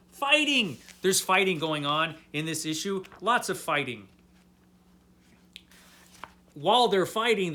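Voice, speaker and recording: A man hosting a casual, comedic comic book recap, talking to viewers in an animated, tongue-in-cheek, mock-baffled way with emphatic, drawn-out key words. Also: high-pitched. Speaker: host of a comic book review series.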